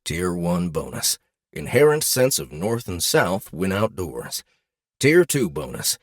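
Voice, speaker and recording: A man plays a formal game-system announcer in a fantasy audiobook. The voice is fairly low with animated, swooping pitch. Phrases come clipped and declarative, in a dry studio sound. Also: takes it slowly, no echo, exaggerated voice. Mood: announcing.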